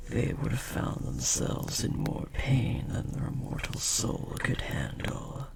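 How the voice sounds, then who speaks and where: A man voicing a powerful, possessive demon bound to a master, speaking formally and theatrically in a breathy, gravelly voice in an audio roleplay. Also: mid-range voice, a little mellow, exaggerated voice. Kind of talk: dramatic audio roleplay monologue. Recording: clear background noise, ambience.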